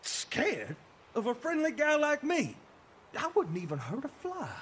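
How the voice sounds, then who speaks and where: A man voicing an anxious, folksy puppet mailman in a cartoon, easily flustered, with a husky voice and stammering manner. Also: extremely high pitch, huge pitch swings, unhurried, fairly bright tone, full character voice. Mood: defensive. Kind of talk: cartoon character voice acting.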